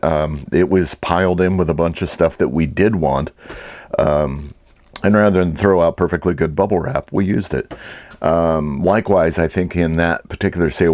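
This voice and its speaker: A man's voice: very deep voice, steady tone, moderate pace, darkish tone, no echo. A man giving a casual, rambling and easygoing vlog talk as an online reseller.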